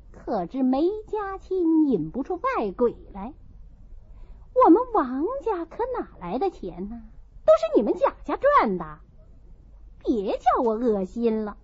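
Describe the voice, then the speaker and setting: A woman's voice: high voice, no room sound, lo-fi sound, voice pushed up, full character voice. A woman voicing a proud, scornful young wife of a rich household in an audiobook, with a cutting manner.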